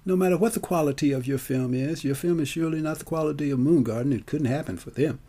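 A man's voice: a little high, fast talker, dark tone, husky. A man telling a personal story in a conversational monologue, emphatic and theatrical, with rhetorical questions. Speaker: film festival marketer and storyteller.

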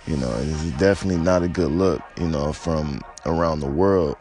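An American man with a slightly raspy voice talking casually and unscripted, in a hesitant, laid-back, rambling way. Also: extremely low pitch, fairly quick, darkish tone. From United States. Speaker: podcaster or vlogger giving personal commentary. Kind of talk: casual unscripted monologue on news and life.

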